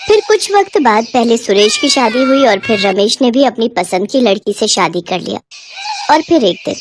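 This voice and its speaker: High-voiced woman narrating a story. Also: lively intonation, moderate pace, slight background noise.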